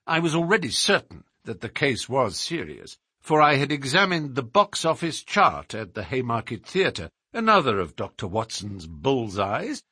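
Man acting a brilliant gentleman detective, theatrical, precise, bright forceful voice, swooping pitch, audiobook. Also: husky, no echo, clean studio sound, exaggerated voice. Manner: precise, theatrical, formal explaining. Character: brilliant, self-assured gentleman detective, lecturing.

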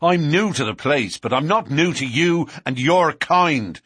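A man reading an audiobook, voicing a surly, defiant young man who shouts back in anger. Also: a little high, expressive, measured pace, husky, no room sound, studio quality, pitched above the performer's own voice, heavily acted. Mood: cold fury. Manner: loud and fierce, shouting.

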